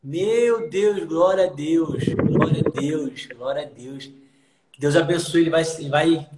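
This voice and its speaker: A man's voice: a little high, unhurried, echoey room, very noisy. A young man preaching fervently on a live stream from a small room, slipping into song, filmed on a phone at arm's length. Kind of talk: preaching and singing on a live video call. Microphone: phone camera at arm's length.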